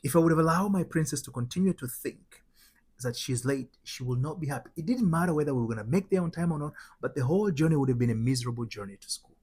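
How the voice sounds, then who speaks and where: A man's voice: fairly high pitch, lively intonation, fast, darkish tone, slightly rough. A man from Tanzania with a Tanzanian accent, giving motivational spiritual teaching in an emphatic, repetitive way with rhetorical questions. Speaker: host, spiritual transformation coach.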